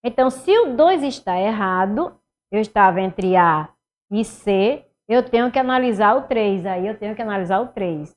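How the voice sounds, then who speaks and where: A middle-aged woman with a Northeastern Brazilian accent, teaching an animated online exam-prep class and talking students through each step. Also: medium pitch, moderate pace. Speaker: Portuguese teacher. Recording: somewhat dull lo-fi sound.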